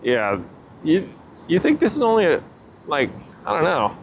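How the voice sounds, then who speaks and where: A man's voice: a little high, huge pitch swings, moderate pace. Casual man reviewing gear outdoors, background noise. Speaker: product review host. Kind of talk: casual chat, unscripted product review. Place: outdoors near water, walking.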